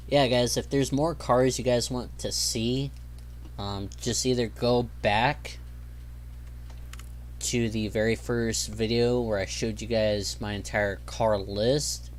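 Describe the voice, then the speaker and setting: A man's voice: mid-range voice, slight background noise. A young man hosting his own gaming channel, chatting casually while he plays, rambling along with lots of ums and easygoing asides. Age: young adult. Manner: rambling, filler-heavy, easygoing. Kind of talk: casual gameplay commentary.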